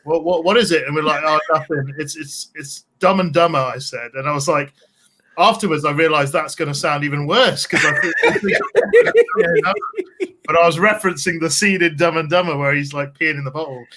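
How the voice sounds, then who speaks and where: Laughing Welsh man, slightly high voice. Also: lively intonation, normal speed, fairly bright tone. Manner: jokey, laughs often. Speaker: guest filmmaker. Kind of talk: casual chat.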